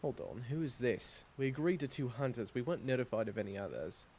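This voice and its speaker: A man's voice: medium pitch, sing-song, fast talker, a little mellow, slight background noise. A man playing a solemn, skeptical small-town guard in a fantasy audio drama, formal and wary. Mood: wary, curt. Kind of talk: fantasy audio roleplay acting.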